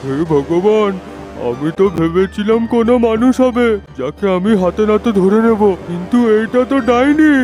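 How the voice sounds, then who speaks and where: A man voicing a cowardly, excitable villager disguised as a woman in a comic horror cartoon, whining and exclaiming. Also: high voice, normal speed, faint music behind. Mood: panicked. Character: cowardly, panicky male villager in female disguise.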